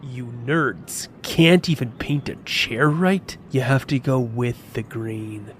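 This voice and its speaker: A man acts out a snobbish teenage bully who sounds bored and dismissive. His mid-pitched voice is blunt and sneering. Faint ambience runs behind this audio drama dialogue. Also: slow pace, lightly acted. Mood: scornful. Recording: clear background noise, outdoor ambience.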